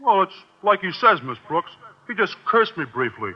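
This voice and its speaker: Lunkhead high school fullback, American, innocent and goofy, high dopey voice, muffled crackly radio-comedy recording. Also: male voice, very animated. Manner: slow-witted, folksy grammar. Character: dim-witted teenage male football player, slow goofy innocence. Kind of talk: 1940s-50s American radio comedy acting.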